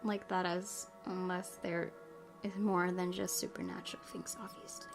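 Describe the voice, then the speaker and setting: A woman's voice: fairly low pitch, level tone, moderate pace. A woman hosting a gaming video, reading visual novel narration aloud and adding her own asides. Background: guitar game music.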